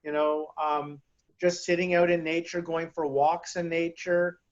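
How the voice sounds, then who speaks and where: High-voiced man on a muffled call. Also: flat delivery, unhurried, slight background noise. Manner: hesitant, many fillers and restarts. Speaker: guest speaker on grounding and chakras. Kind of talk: casual explanatory talk over video call. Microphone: video call.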